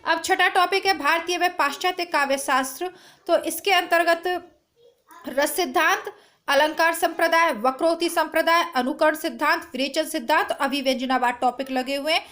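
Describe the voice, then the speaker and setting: A woman teacher with a strained, hoarse voice, chatting to her students through an exam syllabus, recorded at home without a proper mic. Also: high-pitched, normal speed, a bit bright. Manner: chatty, reassuring, addresses students directly. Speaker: Hindi exam-prep teacher. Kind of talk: informal lesson to camera. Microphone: no proper mic, phone or device built-in.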